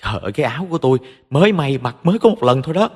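Guy doing a bratty angry young southern vietnamese girl, high screechy scolding, big reverb, audio story. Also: big pitch swings, very fast, darkish tone, husky. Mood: indignant. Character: spoiled, bratty young woman, shrill and furious. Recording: long hall reverb.

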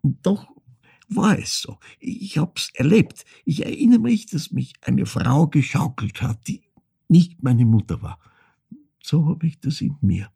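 An actor playing an older man who haltingly recalls a troubled childhood in an intimate radio-play monologue, with a husky, gravelly voice. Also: fairly high pitch, lively intonation, dark tone, no echo, studio quality, exaggerated voice. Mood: reflective, haunted. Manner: halting, reflective, repeats words, drops to near whisper.

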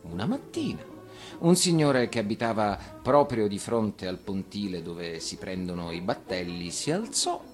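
A man's voice: on the low side, animated, unhurried. A man reading a children's tale expressively as an actor, with music behind him. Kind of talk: expressive storybook narration. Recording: radio reading over music.

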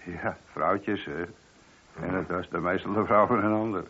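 An actor playing a chatty, deferential but opinionated middle-aged police sergeant in a radio play. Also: male voice, low voice, moderate pace, a bit bright, slight background noise. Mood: chatty, reporting. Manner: talkative, colloquial, deferential. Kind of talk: radio drama acting. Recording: dull, lo-fi old radio recording.

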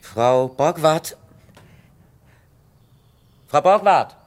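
An actor playing a wealthy, idle young bachelor in a 1960s radio play, brooding and evasive, speaking in a whimsical, flowery, mannered way with musing pauses. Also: male voice, a little high, expressive. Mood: alarmed. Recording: noisy vintage 1960 radio recording.

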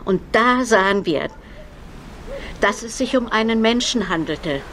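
An actress playing a shaken eyewitness giving halting testimony in a radio drama. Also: female voice, a little high, expressive, fairly bright tone, slight background noise. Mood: shaken, recounting. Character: shaken female eyewitness recounting finding a body.

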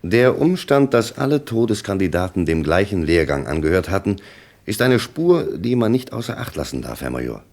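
Male, radio crime play: dogged police commissioner, very low voice, animated delivery, formal and insistent. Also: moderate pace. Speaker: actor in a radio crime drama. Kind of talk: German radio play dialogue acting.

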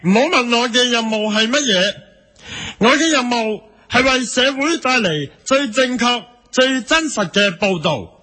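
A man playing a pompous, high-voiced rookie reporter. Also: animated, unhurried, bright, forceful tone, full character voice. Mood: self-important. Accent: Hong Kong Cantonese. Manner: pompous, doggedly repetitive questioning. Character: earnest, obstinate young rookie TV news reporter. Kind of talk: comic radio drama acting.

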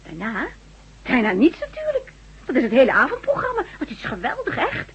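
Soothing housewife, radio drama, very husky, lilting, slightly high, gentle, coaxing, muffled vintage recording. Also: female voice, wildly swooping pitch, fairly quick, exaggerated voice. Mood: gentle, cheery. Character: caring, watchful, soothing woman at home.

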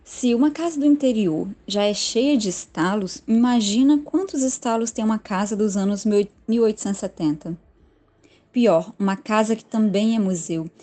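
A woman's voice: mid-range voice, dark tone. A woman with a Brazilian Portuguese accent narrating a scripted literary horror story in a reflective, dramatic way.

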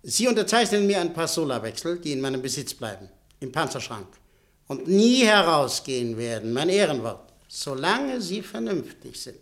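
A man acting in a German radio play as a shrewd, courteous director who speaks in a formal, measured way. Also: a little high, very animated. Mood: smooth, businesslike. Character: shrewd, composed businessman director, courteous and controlling.

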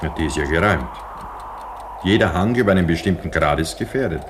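Radio play: a brooding, sceptical mountain worker, extremely deep voice, faintly noisy old recording. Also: male voice, animated, fast. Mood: uneasy, sceptical. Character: brooding cable-car worker recalling an avalanche disaster. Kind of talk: German radio play acting and first-person narration.

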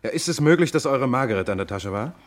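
An actor playing a persistent, courteous but stern police detective who questions in a measured way, in a German radio crime play. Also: male voice, mid-range voice, animated, close to an everyday voice. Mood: probing, courteous. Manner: interrogating, measured, insistent.

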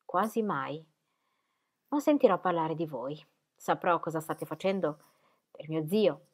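An earnest, pious young woman speaks in a raised, brighter voice than the reading around her. She sounds sincere and soft-spoken, turning fervent as she explains her faith, as a woman narrator puts her on in a storybook reading. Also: low-pitched, expressive, a little slow. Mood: gentle.

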